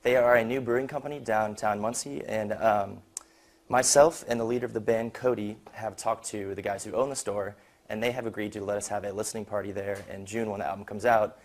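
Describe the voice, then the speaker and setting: Fast-talking young man, lowish voice. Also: fairly even intonation. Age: young adult. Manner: casual, conversational. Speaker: university student presenter. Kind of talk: informal slide presentation.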